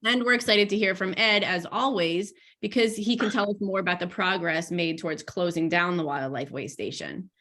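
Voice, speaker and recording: A woman's voice: fairly low pitch, moderate pace, few pauses, fairly bright tone. A woman hosting a video-call briefing as program director, a little stuffy from a cold, upbeat with small restarts.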